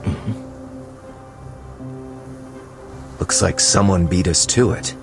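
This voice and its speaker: An actor playing a composed, shrewd gentleman novelist, speaking in a deliberate storytelling manner in a video game cutscene. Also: low voice, creaky, over music. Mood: smooth, probing.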